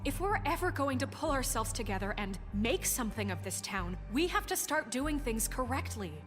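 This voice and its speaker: An actress playing an earnest government official in a video game, presiding with formal, articulate and resolute delivery. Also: female voice, high voice, very animated, normal speed. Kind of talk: video game dramatic acting. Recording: long hall reverb, faint music.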